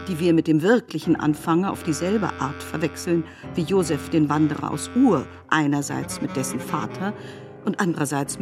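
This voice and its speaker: Woman for literary audiobook: lowish register, measured pace of thought, articulate reading. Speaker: narrator.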